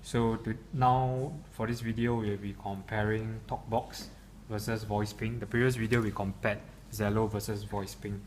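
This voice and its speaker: A man's voice: on the low side, level tone, normal speed. A man giving an unscripted product demonstration in a hesitant, stop-and-start way. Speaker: software demonstrator. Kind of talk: unscripted product demo explanation. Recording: clearly noisy room recording.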